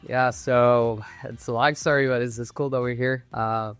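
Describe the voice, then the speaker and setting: A young man from Cuba speaking as an interview guest, halting and restarting his phrases as he goes. His voice is smooth and resonant, at an ordinary male pitch. The sound is close and dry, with no room around it. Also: steady tone, a bit bright, no echo, faint music behind. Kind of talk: casual interview talk.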